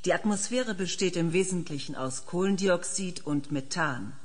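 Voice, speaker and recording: Actress as a low-voiced, fascinated female scientist. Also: normal speed, natural voice. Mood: factual, interested. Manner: precise, lecturing, openly fascinated. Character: enthusiastic female planetary scientist, a professor. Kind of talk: German audio drama acting.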